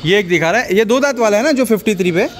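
A man's voice: sits high, animated, fast talker. A middle-aged man casually touring a goat farm as a vlogger, admiring the animals and reading out weights and prices. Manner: admiring, repeats figures aloud, frequent exclamations of praise. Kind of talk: casual walkthrough chat. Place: outdoor goat farm sheds. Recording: outdoor farm noise with goats bleating. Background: goats bleating, farm ambience.

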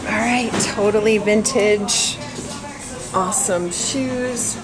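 A woman's voice: mid-range voice, slow. A woman from Arizona chatting upbeat and breezy into a handheld camera as she walks city streets and rides in a taxi. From Arizona, USA. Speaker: fashion vlogger. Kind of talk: casual handheld vlog chat. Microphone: handheld camera mic. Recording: loud traffic noise on camera mic.

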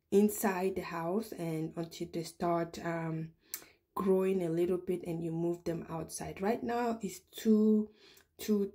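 A woman's voice: on the low side, mellow. A woman vlogger chatting casually as she walks through a large store, with frequent ums and restarts. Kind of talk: casual shop-with-me narration. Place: large home improvement store, indoors.

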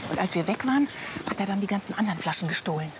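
An actress playing a sensible, dryly teasing wife in a German radio comedy drama. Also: female voice, mid-range voice, expressive, racing, background noise, natural voice. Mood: conspiratorial.

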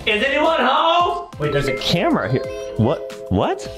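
A man in his twenties giving exaggerated, theatrical gaming commentary for kids as an excitable host, often raising his voice to a shout. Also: very high-pitched, a little slow. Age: 20s. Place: small room at home, indoors. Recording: roomy echo with loud background music. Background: music.

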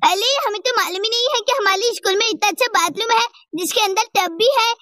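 A woman voicing an excitable, easily embarrassed little schoolgirl in a children's story, with exclamatory, sing-song, repetitive delivery. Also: very high-pitched, quick pace, distant mic, heavy background noise. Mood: gleeful.

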